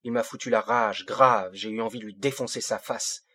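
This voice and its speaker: A man's voice: on the low side, steady tone, normal speed, sharp tone, slightly rough. A man reading an audiobook as an angry fifteen-year-old boy from the housing projects, speaking bitter street slang in agitated bursts. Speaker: audiobook reader. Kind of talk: first-person audiobook monologue.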